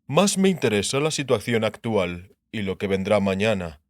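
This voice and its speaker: A man voicing an assured, sharp-minded king in an audiobook, lecturing on war in declarative phrases and rhetorical questions. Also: lowish voice, very animated, normal speed, dry recording, studio quality. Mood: authoritative lecturing. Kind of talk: dramatised audiobook dialogue in Spanish.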